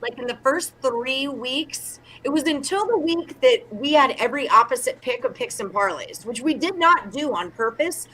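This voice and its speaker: Bright, high-voiced woman chatting sports. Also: animated, normal speed, few pauses, rough recording. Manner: chatty, many fillers. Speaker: co-host and picks proxy. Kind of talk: casual sports talk chat.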